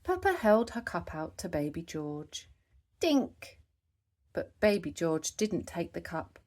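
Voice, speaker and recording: A woman narrates a picture book for young children in a slightly low voice. She takes her time, with lots of rise and fall in her expressive reading. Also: a little slow. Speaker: storybook reader. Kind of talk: children's read-aloud narration.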